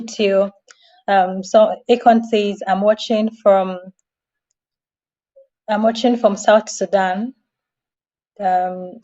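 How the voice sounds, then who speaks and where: A woman's voice: medium pitch, mellow. A woman hosting a live online event in a chatty, appreciative way. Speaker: host. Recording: dull, lo-fi online audio.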